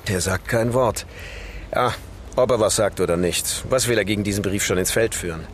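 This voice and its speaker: An actor playing a confident, self-satisfied police inspector in a naturalistic radio crime drama, talking in a matter-of-fact, assured way. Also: male voice, fairly low pitch, moderate pace, a little hiss or hum, natural voice. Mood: confident, dismissive.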